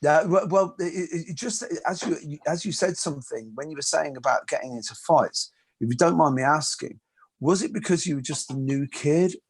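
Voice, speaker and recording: Husky man. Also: fairly high pitch, moderate pace. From England. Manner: chatty, self-deprecating, repeats words. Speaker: host and martial arts instructor. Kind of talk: casual interview chat.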